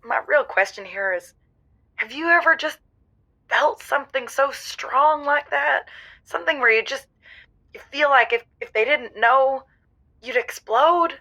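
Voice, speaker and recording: A woman's voice: sits high, measured pace, pushed and bright, exaggerated voice, poor audio. A woman playing a nervous radio caller bursting with pent-up feelings, stammering and repeating herself in a comedic audio drama. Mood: nervous, gushing. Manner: stammering, breathless rush of repeated words.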